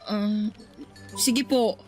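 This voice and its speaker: A woman's voice: medium pitch, fairly even intonation, drawn-out words, a little mellow, faint music behind. A woman with a Filipino accent playing a polite, evasive working goddaughter in a radio drama, respectful but defensive and pleading. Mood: uneasy, pleading.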